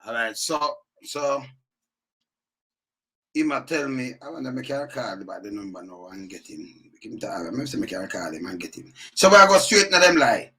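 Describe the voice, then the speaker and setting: Jamaican guy ranting on his channel, real bright voice, keeps repeating himself. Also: medium pitch, moderate pace, slightly rough, rough recording. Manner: animated, repetitive, indignant. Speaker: channel host. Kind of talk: ranting commentary.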